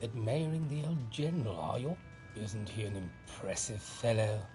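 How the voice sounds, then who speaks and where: An actor playing a genteel, gossipy household character who tells tales in a video game. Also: male voice, on the low side, moderate pace, natural voice. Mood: nostalgic. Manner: genteel, storytelling. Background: music.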